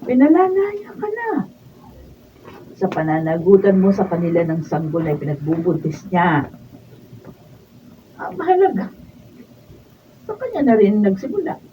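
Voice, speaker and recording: A woman's voice: medium pitch, wildly swooping pitch, a little slow, long pauses, dull audio. A Filipino woman acting a stern, long-suffering, meddling mother in a radio drama. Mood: cold, self-justifying. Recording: distant mic, faint noise.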